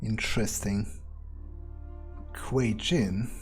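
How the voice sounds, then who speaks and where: A man's voice: on the low side, steady tone. A man roleplaying a guarded, blunt, sardonic vampire in a video game, with a wry, hesitant, thinking-aloud delivery. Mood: curious, wary. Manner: wry, unhurried, hesitant musing, casual swearing. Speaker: let's player. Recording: faint music behind the voice. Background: faint game music.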